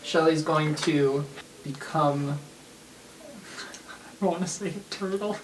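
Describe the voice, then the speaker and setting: A woman's voice: deep voice, takes it slowly, noisy background. A woman casually narrating her character's actions as a player in a tabletop game. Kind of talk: casual improvised play. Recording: roomy with music underneath.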